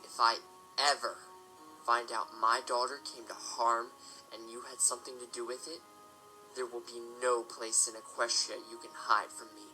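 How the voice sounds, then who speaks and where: A man playing a composed middle-aged father, a castle official in an audio drama. His voice is very bright and forceful at a medium pitch, formal and measured over light music. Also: level tone, rough recording. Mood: stern.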